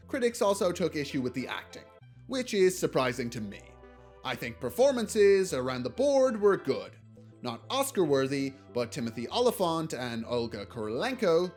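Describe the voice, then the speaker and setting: A man delivering scripted video essay commentary in a blunt, casually sardonic way. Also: mid-range voice. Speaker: video essayist reviewing films. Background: music.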